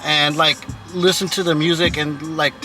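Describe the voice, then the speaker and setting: A man's voice: on the high side, level tone, normal speed, rough recording. A man giving a casual, chatty how-to talk about tuning his truck's speakers, recorded inside the truck. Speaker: car audio vlogger. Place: inside a truck with the stereo playing. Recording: loud music behind the voice. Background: car stereo music playing.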